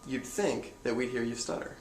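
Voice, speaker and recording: Young guy with a gravelly voice, chatty and halting, a bit off mic in a roomy space. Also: medium pitch, darkish tone. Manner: loose and halting, heavy with fillers. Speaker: interviewer. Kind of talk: casual conversation. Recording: echoey room, faint noise, voice away from the mic.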